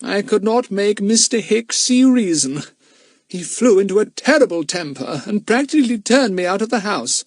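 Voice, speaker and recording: A man voicing a nervous, forgetful, gentle older gentleman in a children's audiobook, hesitant and seeking reassurance. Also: high-pitched, very animated, normal speed, no room sound, clean studio sound, pitched above the performer's own voice. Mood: agitated. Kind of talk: acted children's audiobook dialogue.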